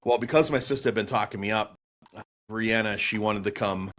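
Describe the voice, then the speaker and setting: A man in his forties with a Boston accent, a podcast guest telling his story in a chatty, animated way with lots of fillers and restarts. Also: lowish voice, brisk. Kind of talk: storytelling interview answer.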